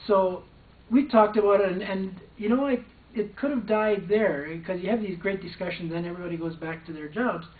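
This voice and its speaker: A man's voice: high voice, brisk. An older man, a former probation officer, recounting a personal story in a hesitant way with frequent restarts. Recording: distant, echoey, phone-like sound.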